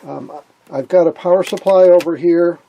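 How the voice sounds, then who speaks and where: A man with a husky voice giving a casual, hands-on technical commentary, thinking aloud as he works. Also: high voice, moderate pace, dark tone, rough recording. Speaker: host of an electronics repair vlog.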